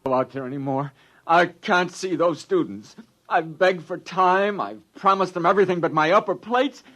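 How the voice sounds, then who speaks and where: A man's voice: sits high, normal speed, fairly bright tone. An actor playing a frightened male publicity man who stammers and begs, in 1950s radio drama style. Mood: panicked pleading. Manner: stammering, begging.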